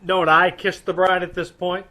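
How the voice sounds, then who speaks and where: A man's voice: high voice, flat delivery, moderate pace, bright, forceful tone. A man playing a wry, flirtatious husband and amateur sleuth in a dry, wisecracking radio comedy-mystery. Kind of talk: old-time radio comedy-mystery acting.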